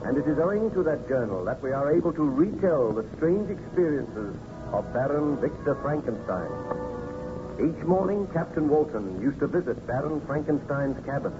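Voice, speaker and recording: A man announcing and narrating in a formal, measured old-time radio style. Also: fairly high pitch, lively intonation, music in the background. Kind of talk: 1930s radio scripted narration. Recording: muffled, noisy old radio recording.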